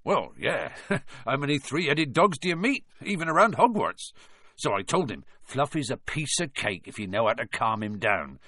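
A man's voice: medium pitch, sing-song, normal speed, pushed and bright, husky, dry recording, studio quality, pitched above the performer's own voice, heavily acted. A man voicing a big, kindly fellow in an audiobook, rambling informally and blurting things out. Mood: chatty. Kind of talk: audiobook character voice.

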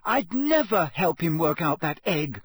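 A man's voice: high-pitched, big pitch swings, normal speed, breathy edge, no echo, studio quality, pitched above the performer's own voice, heavily acted. A man voicing an indignant, flustered teenage girl in an audiobook, heated and emphatic. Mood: outraged.

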